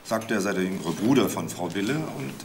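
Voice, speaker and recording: An actor playing a matter-of-fact investigator laying out evidence in a crime audio drama. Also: male voice, deep voice, lively intonation, fairly quick, slight background noise. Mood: factual.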